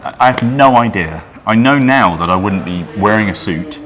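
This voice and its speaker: A man's voice: lowish voice, expressive, moderate pace, crowd noise. A Welsh-born man who runs a coffee roastery, talking casually in an interview, dry and wry, often stopping and restarting. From Wales. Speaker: coffee roaster and business owner. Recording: noisy room ambience.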